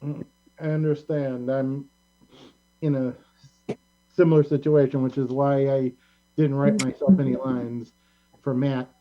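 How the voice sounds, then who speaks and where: A man's voice: mid-range voice, little pitch movement, measured pace, long pauses. A man hosting a casual group chat with his cast, encouraging in manner, over an online call with humming, lo-fi sound. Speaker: show host and director. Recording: dull lo-fi call with mains hum.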